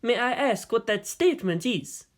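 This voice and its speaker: A man's voice: extremely high pitch, expressive, moderate pace, pitched above the performer's own voice. A man voicing an aged, shrewd premier in a story reading, formal, questioning and skeptical. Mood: suspicious. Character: aged, experienced male statesman, suspicious and shrewd premier.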